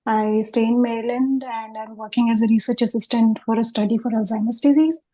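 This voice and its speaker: A woman from India introduces herself clearly on a video call, in an organized way. Her tone is warm and mellow, fairly high, and stays level. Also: steady tone, brisk, poor audio. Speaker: meeting participant.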